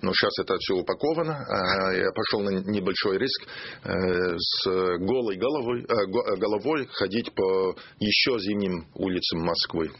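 Hesitant man, low voice. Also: slightly rough. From Australia. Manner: hesitant, pausing to search for words. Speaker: ambassador, studio guest. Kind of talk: interview answers in a second language. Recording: muffled radio studio recording.

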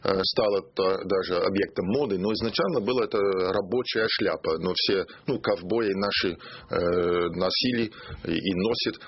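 Hesitant man, low voice. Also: breathy edge. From Australia. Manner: hesitant, pausing to search for words. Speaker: ambassador, studio guest. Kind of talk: interview answers in a second language. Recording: muffled radio studio recording.

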